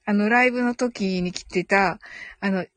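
A woman's voice: medium pitch, normal speed, fairly bright tone, no echo. A woman hosting a casual live audio show, chatting with listeners in a giggly way and thinking aloud as she goes.

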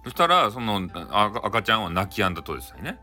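A man tells a folktale in a casual, playful Hakata accent. His voice is bright and quick, with very lively pitch and a chatty, improvised flow. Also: faint music behind. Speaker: storyteller. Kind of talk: casual improvised comic retelling of a bedtime folktale.

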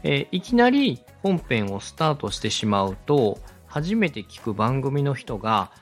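A male host presents an instructional podcast with a polite, explanatory manner. His mid-pitched voice moves up and down in a lively way as he lays things out step by step. Faint music plays behind him. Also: unhurried. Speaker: host, radio director teaching podcast production.

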